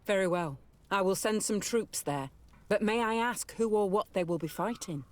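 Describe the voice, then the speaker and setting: An actress playing an honourable military commander in a video game, speaking crisply, formally and respectfully. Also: female voice, medium pitch, moderate pace, close to an everyday voice. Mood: dutiful concern. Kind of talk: dramatic video game dialogue.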